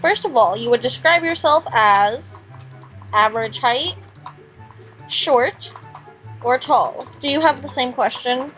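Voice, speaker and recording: A woman's voice: sits high, takes it slowly, pauses often, fairly bright tone, lo-fi sound, faint music behind. A woman co-hosting a casual chat, sometimes reading quiz questions aloud. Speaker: co-host.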